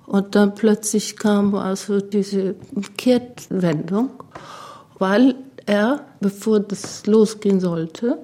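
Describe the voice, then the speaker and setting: A woman's voice: mid-range voice, slow, dark tone. An older woman recalling events she witnessed, in the style of a personal interview. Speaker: interviewed eyewitness. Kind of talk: personal recollection.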